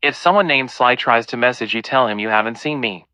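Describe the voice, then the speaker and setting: A man's voice: mid-range voice, a little fast, bright, forceful tone, smooth, dry recording, lightly acted, rough recording. A man playing an anxious, pleading young boyfriend in an audio play, speaking nervously.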